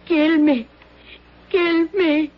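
An actress playing a female palace servant and would-be assassin who speaks broken, halting English, in a 1970s radio drama. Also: high voice, steady tone. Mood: distraught. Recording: dull lo-fi 1970s radio broadcast.

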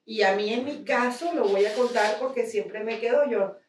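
A woman's voice: on the high side, moderate pace, slight background noise. A Venezuelan woman in the audience asking a question, hesitant and full of restarts. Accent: Venezuelan Spanish. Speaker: audience member. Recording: distant voice in an echoey hall.

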